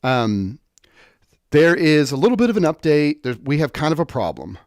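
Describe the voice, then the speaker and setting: A man's voice: a little high, big pitch swings, moderate pace, no room sound, clean studio sound. A man presenting an unscripted technical tutorial in an informal way, thinking aloud and correcting himself as he explains.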